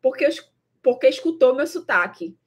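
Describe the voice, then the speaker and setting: Brazilian woman, young adult, Brazilian Portuguese accent, fairly high, slightly breathy, thoughtful vlog chat. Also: sing-song, moderate pace. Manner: thoughtful, repeats words, many fillers. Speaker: traveling vlogger. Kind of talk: casual talk to camera.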